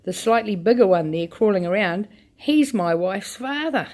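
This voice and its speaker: Woman doing a cheerfully calm dad. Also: medium pitch, moderate pace, close to an everyday voice. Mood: amused. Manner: amused, unbothered. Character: cheerful calm middle-aged father.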